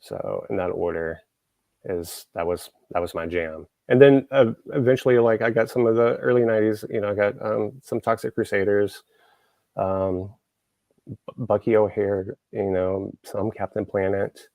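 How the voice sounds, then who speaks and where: A man's voice: lowish voice, fairly even intonation, moderate pace, vocal fry, a little mellow, no room sound. A man from Texas, hosting a show and chatting casually, rambling with lots of fillers and restarts. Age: adult, grew up in the 1980s. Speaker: show host. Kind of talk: casual podcast chat.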